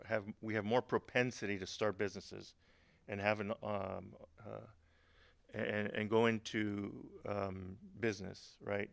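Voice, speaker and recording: A middle-aged man from Honduras speaks at a webinar, his low voice gravelly yet smooth and resonant. The sound is dry and close. He talks haltingly, with many fillers and restarts and the odd humorous aside. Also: a bit bright, no room sound. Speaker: government agency speaker, veteran. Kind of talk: webinar presentation.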